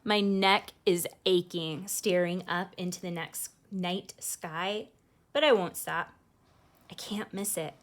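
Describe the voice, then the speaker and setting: A woman's voice: on the low side, takes it slowly. A woman reading a picture book aloud like a teacher, expressively, with casual asides explaining feelings to young listeners.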